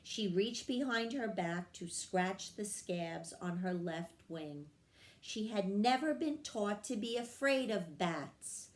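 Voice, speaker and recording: A woman reading a children's story aloud in a measured, expressive storytelling manner. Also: mid-range voice. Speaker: story reader. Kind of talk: scripted children's storybook narration.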